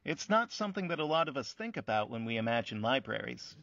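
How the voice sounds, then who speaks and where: Dull, dry recording. Casting a male actor for an affable, reflective radio-play host with a creaky, animated voice. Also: mid-range voice, fast, no room sound. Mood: appreciative. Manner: reflective, conversational, agreeable. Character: thoughtful, affable male interviewer of talking buildings. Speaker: interview host. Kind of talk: radio play interview, casual.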